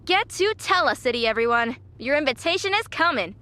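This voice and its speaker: Excited female interviewee, very high voice, forceful, gushing, emphatic, audio drama. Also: slight background noise. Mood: zealous, gushing. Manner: emphatic, gushing, drawn-out key words.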